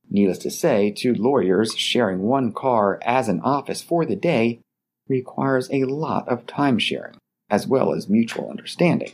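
A middle-aged man hosting a scripted etiquette advice podcast, speaking in a polite, measured way. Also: on the low side, creaky, slightly rough. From Baltimore, Maryland area. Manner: polite, measured, lively delivery. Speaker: podcast host.

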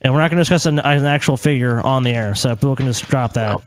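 A man's voice: medium pitch, fast, noisy background. A man co-hosting a podcast in casual chat. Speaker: podcast co-host.

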